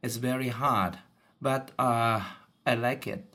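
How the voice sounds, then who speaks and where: Middle-pitched Japanese man in his forties, halting learner English, podcast host on a video call. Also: fairly even intonation, sharp tone. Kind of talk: casual English lesson conversation.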